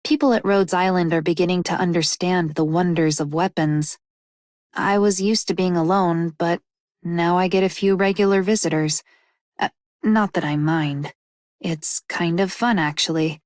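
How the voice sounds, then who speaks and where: A woman's voice: lowish voice, steady tone, moderate pace, darkish tone, dry recording, studio quality, close to an everyday voice. A woman voicing a shy, solitary weapons lover in a video game, reserved and a little hesitant. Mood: bashful. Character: shy, solitary weapons-loving young woman, slightly awkward. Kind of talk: video game character voice lines.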